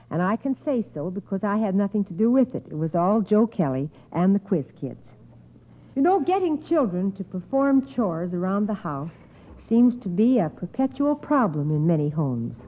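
Female 1950s children's quiz host, encouraging, expressive delivery, narrow-band old broadcast sound. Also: mid-range voice, normal speed. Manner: encouraging, acknowledges each answer. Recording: narrow, tinny old radio recording.

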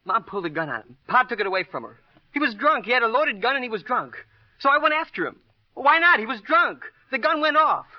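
Anguished, defiant teen son, high voice, wide swings, pleading short bursts, old radio drama, muffled recording. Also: male voice, very animated, brisk, dry recording. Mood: frantic, pleading. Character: anguished, defiant teenage son shielding his father. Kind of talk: 1950s radio drama acting.

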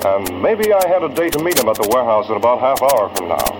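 A cool, commanding masked crime fighter played by a male actor in an old radio thriller. He talks tersely with a very mobile pitch, passing himself off as a racketeer. The vintage recording is full of noise. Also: big pitch swings, quick pace, lightly acted. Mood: cool, persuasive. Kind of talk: old-time radio crime drama acting.

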